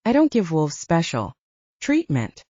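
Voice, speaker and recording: A woman narrator performs a brazen werewolf temptress from a romance audiobook. She is sultry, taunting and defiant, with lively intonation in a dry recording. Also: on the low side, measured pace, slightly rough, dry recording, highs cut off, full character voice. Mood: seductive taunting.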